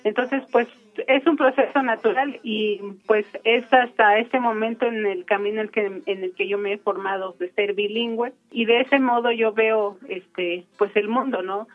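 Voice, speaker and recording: A woman from Michoacán, Mexico, whose first language is Purépecha, giving a reflective personal account in a thoughtful, unhurried way with frequent pauses. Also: on the high side, steady tone, phone-quality sound. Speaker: interviewee.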